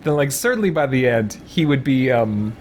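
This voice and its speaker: A man's voice: medium pitch, expressive, moderate pace, darkish tone, slight background noise. A man giving casual live let's-play commentary, rambling and thinking aloud as a game streamer.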